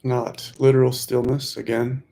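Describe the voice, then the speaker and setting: A man leading a guided meditation, giving gentle instructions in unhurried phrases with pauses. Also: medium pitch, flat delivery, vocal fry.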